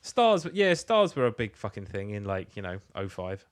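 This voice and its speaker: A man's voice: lowish voice, sing-song, moderate pace, clear, resonant tone. An English man co-hosting a podcast in casual comic chat, talking in a rambling, colloquial way with plenty of swearing. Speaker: podcast co-host.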